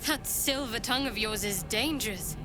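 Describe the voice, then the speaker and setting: Woman as higher-pitched wry game adventurer. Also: moderate pace, close to an everyday voice. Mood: amused, impressed. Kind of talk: video game character acting. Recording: music behind the voice.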